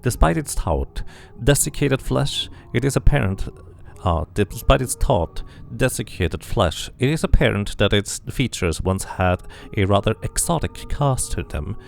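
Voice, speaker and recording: Low-voiced man narrating a game playthrough. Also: lively intonation, a little slow, vocal fry, faint music behind. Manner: reads narration aloud, mutters asides to self. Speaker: video game streamer. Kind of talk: casual playthrough with dramatic read-aloud of game text.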